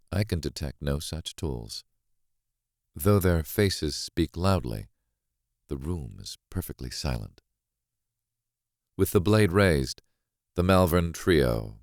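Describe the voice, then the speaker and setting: A man narrating a thriller novel as a grave, watchful witness, measured and deliberate in his delivery. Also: a bass voice, creaky, a little mellow, dry recording, clean studio sound, full character voice. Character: grave, watchful man recounting a horrific stage act. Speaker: audiobook narrator.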